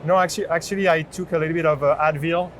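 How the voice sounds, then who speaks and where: A man in his thirties with a French accent giving an unscripted product demo in a halting way. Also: on the high side, fairly even intonation. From France. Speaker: chief product officer demonstrating a product. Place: open booth in large indoor exhibition hall. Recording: noisy trade show hall background.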